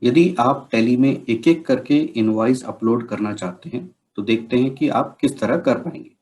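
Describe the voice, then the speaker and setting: A man narrating an instructional software demonstration, explaining step by step and mixing in English technical terms. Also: mid-range voice, normal speed, rough recording.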